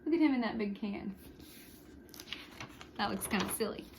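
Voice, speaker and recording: A woman reading a picture book aloud to young children, expressively, with short hushed asides to the listeners. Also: mid-range voice, moderate pace, slight background noise. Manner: expressive, with hushed whispered sound words and asides. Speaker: storytime reader for young children.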